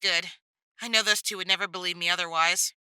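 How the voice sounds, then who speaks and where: A woman's voice: fairly low pitch, fairly even intonation, a little fast, sharp tone, clear, resonant tone, no room sound. A young woman playing a frank, wry teenage girl hero in a conversational audio drama style.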